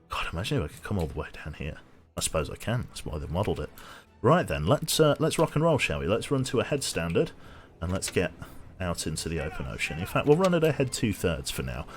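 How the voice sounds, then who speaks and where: A man streaming a game with casual commentary, a little tired, his voice slightly nasal and a bit raspy, chatty as he thinks aloud and thanks viewers. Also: deep voice, big pitch swings, quick pace, soft background music.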